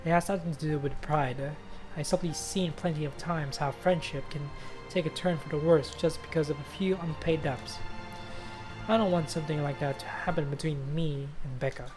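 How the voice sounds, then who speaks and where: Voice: mid-range voice, steady tone, normal speed. A streamer giving casual, sweary game commentary and reading the story text aloud in a playful way. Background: faint game music.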